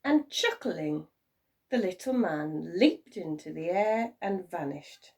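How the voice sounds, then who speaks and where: Woman, expressive children's storyteller, teacherly, lively pitch, slow pace, slightly distant from mic. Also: gravelly. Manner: expressive, dramatic. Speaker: primary teacher reading a story. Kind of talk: animated storytelling for young children.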